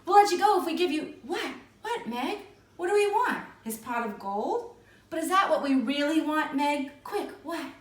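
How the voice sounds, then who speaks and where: An eager storybook child acted by a woman, high-voiced and excitable, given to pleading. The recording is roomy and a little distant. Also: moderate pace. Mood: flustered. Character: eager, excitable young girl and boy siblings in storybook. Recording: echoey room, distant microphone.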